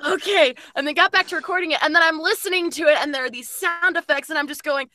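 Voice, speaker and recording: A woman's voice: high-pitched, fast talker, background noise. A woman on a podcast panel telling casual stories expressively, acting out her reactions. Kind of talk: casual animated storytelling.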